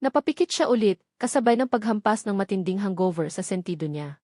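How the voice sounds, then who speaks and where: A woman with a Filipino accent reads a scripted romance story as a storyteller, with sentimental, dramatic phrasing and pauses. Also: medium pitch, expressive, darkish tone, no room sound, clean studio sound.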